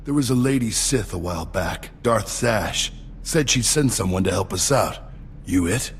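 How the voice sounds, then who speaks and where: An actor playing a blunt, rough street rebel in a video game, talking in clipped, colloquial phrases. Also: male voice, lowish voice, animated, moderate pace, big-room sound, a little hiss or hum, natural voice. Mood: gruff, defiant.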